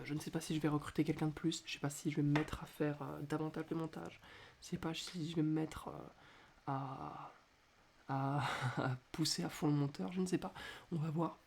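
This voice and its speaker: A man hosting a casual solo podcast, talking quietly at home with a stuffy nose from a cold, hesitating often with filler sounds. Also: fairly high pitch, steady tone, a little fast, creaky, breathy edge. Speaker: podcast host. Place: indoors at home at night.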